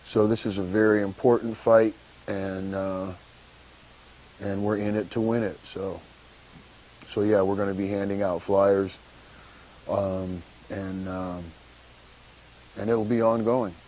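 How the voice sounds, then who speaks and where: A man talking slowly and haltingly on an activist talk show, with many pauses and fillers. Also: fairly low pitch, level tone, warm tone, slight background noise, poor audio. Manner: slow, halting, many uhs and ums.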